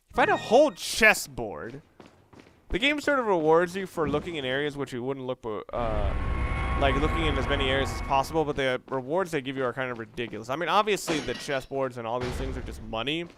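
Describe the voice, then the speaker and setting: A man's voice: mid-range voice, sing-song, a little fast, noisy background. A man doing casual, rambling video game commentary, informal and opinionated, with some mild swearing. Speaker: let's play commentator.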